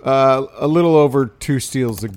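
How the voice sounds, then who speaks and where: A man co-hosting a casual sports podcast, chatting in an animated, bantering way. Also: mid-range voice, moderate pace, clear, resonant tone.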